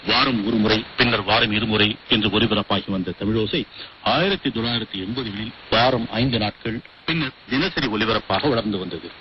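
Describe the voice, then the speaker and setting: A man's voice: fairly low pitch, normal speed. A man narrating a scripted radio documentary as its presenter, heard over shortwave radio. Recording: muffled, hissy shortwave radio broadcast.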